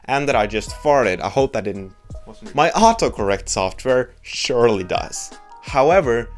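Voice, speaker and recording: A young man with a Norwegian accent, a native Norwegian speaker, giving a blunt, joking opinion monologue as a video host. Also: mid-range voice. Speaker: YouTube host.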